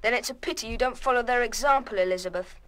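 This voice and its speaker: A woman's voice: a little high, fast, fairly bright tone, natural voice. A woman playing a stern, fair-minded head pupil, formal and authoritative, in a radio play. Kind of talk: full-cast radio play acting.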